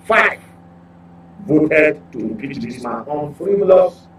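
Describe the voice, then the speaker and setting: A man's voice: on the high side, animated, takes it slowly, fairly bright tone. Emphatic Nigerian man over humming background noise. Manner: emphatic, rhetorical questions, repeats points. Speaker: journalist, commentator. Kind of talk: current affairs talk, opinionated commentary.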